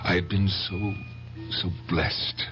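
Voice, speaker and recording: An actor playing a meek, anguished man who speaks haltingly and hesitantly, in old-time radio drama style. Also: low voice, little pitch movement. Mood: wistful. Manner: halting, hesitant, self-effacing. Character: meek, anguished, insignificant man breaking long silence. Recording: dull radio recording with organ music.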